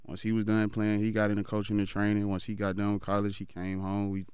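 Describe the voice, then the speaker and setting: Man, 30s, low even voice, very clear, dry close mic, laid-back interview guest. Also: quick pace, dry recording. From Fort Lauderdale, Florida. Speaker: guest, football trainer. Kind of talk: casual interview talk.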